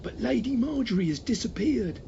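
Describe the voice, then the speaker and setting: A man's voice: very high voice, lively intonation, normal speed, vocal fry, warm tone, muffled recording, slight background noise, pitched above the performer's own voice, full character voice. A man voicing a deferential, shamefaced and flustered manservant in a storybook reading. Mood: hushed apology.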